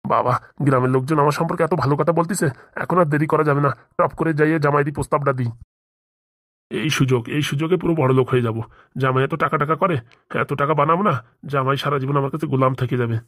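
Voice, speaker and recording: A man's voice: on the high side, quick pace, fairly bright tone, dry recording, clean studio sound, natural voice. A man voicing a scheming, vain, gullible father-in-law in a comedy cartoon, colloquial and wheedling. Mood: gloating. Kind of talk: amateur comedy cartoon dialogue.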